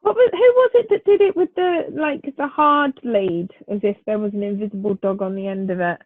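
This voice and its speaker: A woman's voice: mid-range voice, lively intonation, a little fast, dry recording. A woman with a Northern English accent co-hosting a casual chat over a video call, weary and congested, giving short replies. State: tired, congested. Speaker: co-host. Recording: dry tinny phone-like line.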